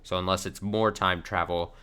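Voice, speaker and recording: A man's voice: sits low, little pitch movement, moderate pace, sharp tone, smooth. A man streaming live reaction commentary on game cutscenes, exasperated and sweary, often repeating his phrases. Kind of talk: live reaction commentary, reading subtitles aloud.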